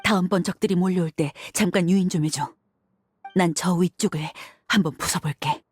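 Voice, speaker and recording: An actress playing a tough, blunt young desert mercenary in a game, curt and confident. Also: female voice, lowish voice, brisk, breathy edge. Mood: brisk, focused. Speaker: voice actor in a game dub.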